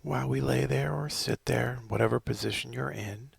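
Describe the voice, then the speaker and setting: A middle-aged man leading a guided body scan meditation, slow and soothing, often sinking into a whisper. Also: mid-range voice, gravelly.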